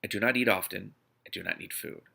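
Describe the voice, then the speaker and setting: A man reading the part of a courteous, enigmatic male vampire in a storybook, formal, terse and measured. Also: low-pitched, creaky, pushed and bright, heavily acted. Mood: flat, matter-of-fact.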